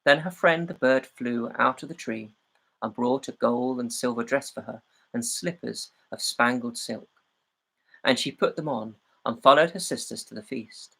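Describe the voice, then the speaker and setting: A man reading a fairy tale aloud as a storyteller, in a measured and expressive way. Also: medium pitch, creaky, husky.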